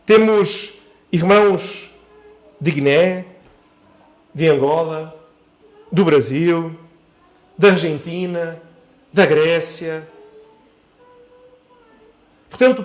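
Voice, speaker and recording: Male preacher, high-pitched voice, deliberate and emphatic sermon delivery with repeated phrases. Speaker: pastor preaching. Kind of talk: sermon to a church congregation.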